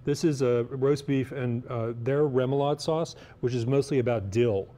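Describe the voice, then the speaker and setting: A man's voice: medium pitch, normal speed, mellow. A man speaking as a guest cook, casually explaining dishes with some hesitant phrasing during a TV cooking segment. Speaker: guest cookbook author and cook. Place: TV kitchen segment.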